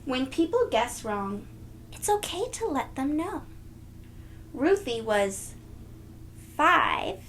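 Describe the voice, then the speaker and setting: A woman reading a storybook aloud to young children, expressive and gentle, speaking directly to them. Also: high voice, slow pace, long pauses, slight background noise. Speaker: children's read-aloud host.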